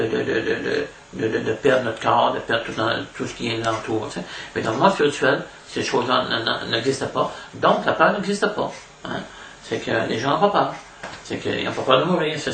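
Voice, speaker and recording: A man with a Québécois French accent giving an informal spiritual lecture as a devotional preacher, haltingly, repeating words and adding little question tags. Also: medium pitch, creaky, sharp tone. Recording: echoey room, distant mic, faint noise.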